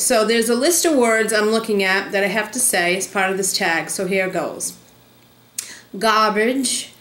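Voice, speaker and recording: A woman's voice: mid-range voice, fairly bright tone, reverberant. A woman in her thirties from Rhode Island, with a Rhode Island accent, chatting casually through an accent tag vlog with plenty of ums. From Rhode Island, USA. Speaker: vlogger.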